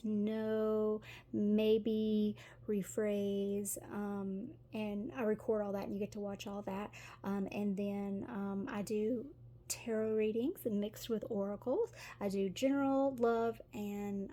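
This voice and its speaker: A woman's voice: medium pitch, steady tone, slow pace, dark tone. A middle-aged woman with a Tennessee Southern accent, chatting her way through a tarot reading with lots of fillers and giggles. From Tennessee, USA. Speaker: tarot reader. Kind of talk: casual tarot reading to camera.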